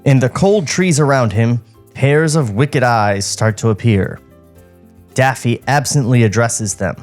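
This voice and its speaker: A man's voice: mid-range voice, measured pace, faint music behind. A man hosting a podcast, reading stage directions as comic narration and dropping in wry asides.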